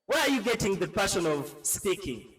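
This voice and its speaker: Play a TV presenter, a man, high-pitched, teasing and sing-song, with faint music under him. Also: very animated, normal speed, fairly bright tone, in a large hall. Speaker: TV presenter interviewing students. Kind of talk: casual on-the-spot interview.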